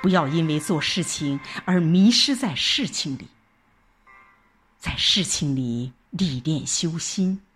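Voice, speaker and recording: A woman with a slightly low voice narrates an audio drama in the first person. Her delivery is very slow and reflective, with deliberate pauses and wide, expressive swings in intonation. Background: background music.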